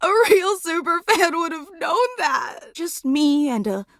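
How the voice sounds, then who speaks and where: A woman voicing an adoring, anxious superfan in a video game, effusive, dramatic and quick to put herself down. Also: extremely high pitch, animated, a bit bright, dry recording, studio quality, exaggerated voice. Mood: self-pitying, apologetic. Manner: effusive, dramatic, self-deprecating. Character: gushing, anxious, adoring superfan, an animate household object. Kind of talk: video game character voice acting.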